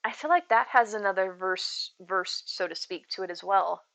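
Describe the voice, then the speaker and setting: A woman with a soothing, bright voice chats to her viewers from a home streaming setup. She rambles loosely, giggles easily and calls people in her chat by name. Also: medium pitch, normal speed, creaky, poor audio. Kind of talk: casual live-stream chat.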